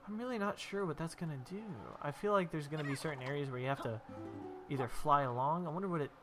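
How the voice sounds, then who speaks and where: A man's voice: a little high, fast talker, music in the background. A young man with a stuffy nose from allergies, rambling casually and telling stories while he plays through a video game. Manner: rambling, chatty storytelling while playing. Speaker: gameplay video host. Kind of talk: casual gameplay commentary.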